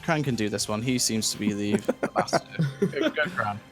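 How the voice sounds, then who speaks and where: A man's voice: lowish voice, lively intonation, fairly quick, soft background music. Giggly, hesitant young man co-hosting. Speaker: podcast co-host. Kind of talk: casual chat.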